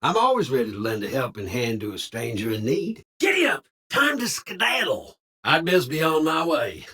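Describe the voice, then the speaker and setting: Game robot cowboy: lively, swooping Western American drawl, slightly rough-edged, folksy and quick on the draw. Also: male voice, fairly high pitch, full character voice. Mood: jaunty, friendly. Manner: drawling, colorful frontier slang. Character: folksy old-time cowboy security robot, cheerful yet trigger-happy. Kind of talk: video game character voice lines.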